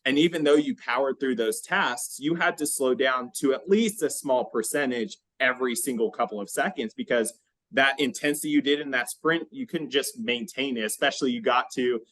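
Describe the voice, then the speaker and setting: Male podcast host, average pitch, dry sound; conversational explainer who pauses to restart and check understanding. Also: a bit bright, dry recording.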